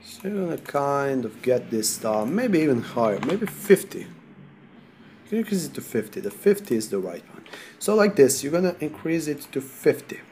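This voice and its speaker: A man from Serbia with a Serbian accent, sick, talking through a software tutorial in halting non-native English while he clicks. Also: medium pitch, big pitch swings, a little hiss or hum. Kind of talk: screen-recorded software tutorial.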